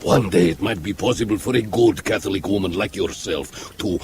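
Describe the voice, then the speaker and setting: An actor playing a genial, flirtatious Soviet KGB colonel, teasing and blustery, in a radio drama. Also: male voice, low voice, normal speed. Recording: clear background noise and ambience.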